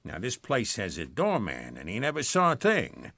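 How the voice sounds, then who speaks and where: An actor playing a smug, sarcastic police lieutenant in a detective radio drama, with a bossy, wisecracking manner. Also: male voice, lowish voice, wildly swooping pitch, moderate pace, gravelly, sharp tone, no echo, exaggerated voice. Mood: smug, impatient.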